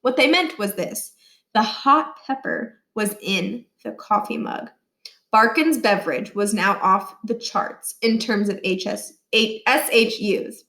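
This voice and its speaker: A woman reading a children's book aloud as a storyteller, with expressive scripted narration. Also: mid-range voice, slow pace. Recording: roomy, echoey indoor sound.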